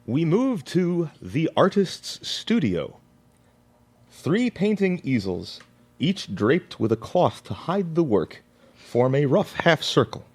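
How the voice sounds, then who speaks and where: Male stage-direction reader for radio drama, unhurried and richly inflected. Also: a little high, big pitch swings, breathy edge. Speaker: narrator. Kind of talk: scripted radio play narration.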